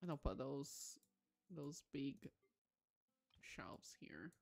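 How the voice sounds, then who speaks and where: A woman's voice: extremely low pitch, big pitch swings, drawn-out words, vocal fry, darkish tone. A woman livestream host giving casual game commentary, musing aloud with enthusiastic exclamations.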